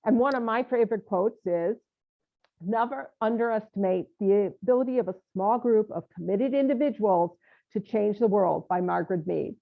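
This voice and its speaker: A woman's voice: mid-range voice, normal speed, vocal fry. Woman, interview host, enthusiastic, filler-heavy, tinny narrow sound. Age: older. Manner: enthusiastic, encouraging, many "you know" fillers. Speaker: podcast host. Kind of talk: casual interview chat. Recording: tinny phone-like line.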